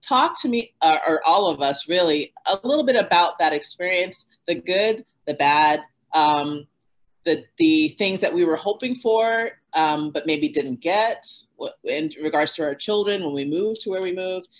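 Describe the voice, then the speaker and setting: A woman's voice: on the low side, animated, normal speed. A woman hosting a casual panel conversation, asking open questions with affirming asides and fillers. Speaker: host.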